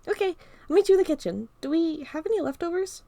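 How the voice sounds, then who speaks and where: Woman reader doing a high, eager, clumsy young man, gravelly and dry. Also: lively intonation, fast, no echo, exaggerated voice. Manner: eager, yelling.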